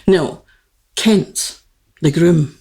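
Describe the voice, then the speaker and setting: A woman's voice: lowish voice, very slow, warm tone. A woman playing an aristocratic, lovelorn queen, grand yet girlish, with stately, formal theatrical diction in a comic radio play. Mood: amused.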